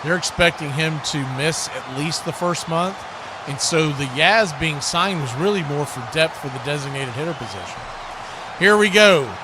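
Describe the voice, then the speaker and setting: A man's voice: a little high, lively intonation, normal speed, a crowd in the background, noisy background. A man hosting a livestream, calling football play-by-play and chatting casually with viewers. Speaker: livestream host and play-by-play commentator.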